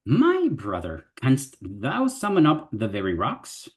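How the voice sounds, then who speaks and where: Man voicing a gallant fox knight, archaic speech, wildly swooping pitch, slow and deliberate. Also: wildly swooping pitch. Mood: wondering. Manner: archaic, chivalrous speech with big pitch leaps. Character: gallant small male fox knight, old-fashioned. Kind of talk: live dramatic reading.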